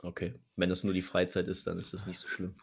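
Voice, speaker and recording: A man co-hosting a gaming podcast, teasing the others with deadpan advice. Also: low voice, fast talker, vocal fry, husky. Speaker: podcast co-host. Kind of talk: casual chat.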